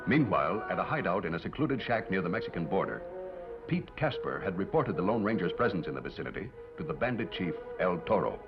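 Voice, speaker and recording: Narrator, low and dramatic, solemn 1950s TV western delivery, dull soundtrack with music behind. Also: male voice, sing-song, fast.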